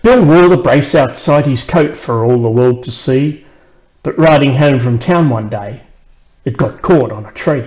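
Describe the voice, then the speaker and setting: A man with an Australian accent narrating a rhymed children's story in an expressive storyteller's way. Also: fairly high pitch, poor audio. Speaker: author reading own children's book.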